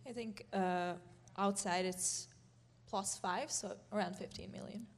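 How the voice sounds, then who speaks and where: A woman's voice: mid-range voice, steady tone, a little slow, in a large hall. A woman who grew up in Serbia, speaking briefly as a guest at church.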